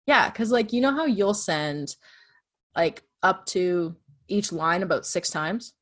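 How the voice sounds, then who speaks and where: A woman with a low-registered voice, chatting casually as she walks through her podcast process step by step. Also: takes it slowly. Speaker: true crime podcast host. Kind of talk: casual conversation.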